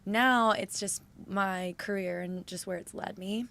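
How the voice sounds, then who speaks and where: A young woman with a raspy, hoarse voice, chatting animatedly as a podcast guest. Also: medium pitch, unhurried, a little hiss or hum. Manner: chatty, animated storytelling with frequent "like". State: hoarse from overuse. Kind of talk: casual chat.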